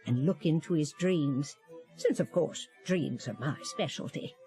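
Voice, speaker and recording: A woman playing a kindly, chatty old fairy godmother in a fantasy game, gently musing in a grandmotherly way. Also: low voice, unhurried. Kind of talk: animated fantasy game acting. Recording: music behind the voice.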